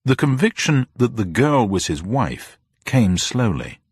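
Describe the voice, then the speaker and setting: A man's voice: mid-range voice, very animated, unhurried, slightly rough, dry recording, studio quality. A man narrating a scripted mystery story in a dramatic style.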